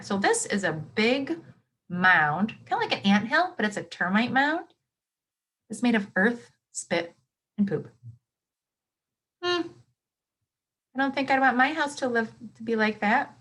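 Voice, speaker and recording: Expressive woman reading aloud to children, lively pitch. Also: slight background noise. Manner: expressive, explains simply with questions and reactions. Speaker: teacher reading a nonfiction book aloud to children. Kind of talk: children's read-aloud with explanatory asides.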